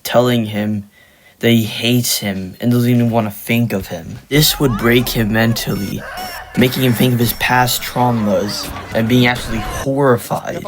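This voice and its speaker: A man works through a scripted explainer as the narrator of a commentary video. His voice sits at an average pitch for a man. He presents fictional match-ups as a prepared voice-over. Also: steady tone, normal speed, a little hiss or hum.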